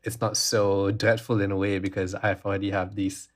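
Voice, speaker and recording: A man's voice: lowish voice, flat delivery, normal speed. A man from Malaysia, co-hosting a casual podcast chat in a rambling, reflective way. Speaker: podcast co-host.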